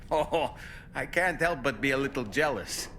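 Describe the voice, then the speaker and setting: A man playing an earnest, caring crew ally who speaks with expressive admiration in a video game cutscene. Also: medium pitch, unhurried, a little hiss or hum. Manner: warmly praising, expressive.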